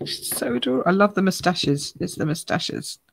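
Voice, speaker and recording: A woman with a croaky voice, chatting through a live card-making demonstration at her craft table, greeting viewers by name as she stamps and colours. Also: on the low side, lively intonation, fairly quick, whispered, low-quality recording. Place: home craft room.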